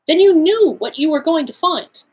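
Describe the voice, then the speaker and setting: A woman voicing an earnest, excitable gentleman, a detective's eager and questioning companion, in an audiobook. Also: extremely high pitch, normal speed, muffled recording, pitched above the performer's own voice. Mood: curious. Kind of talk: audiobook character dialogue.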